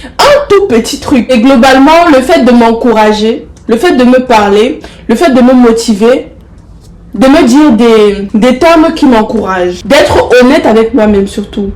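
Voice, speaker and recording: A young woman with the flu talks straight to her viewers in a fairly high, congested voice. She coaxes them warmly and drives points home by repeating herself. The room around her gives the sound a roomy echo. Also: animated, unhurried. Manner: affectionate, coaxing, repeats herself for emphasis. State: has the flu, stuffy nose. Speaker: motivational vlogger. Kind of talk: casual motivational talk to camera.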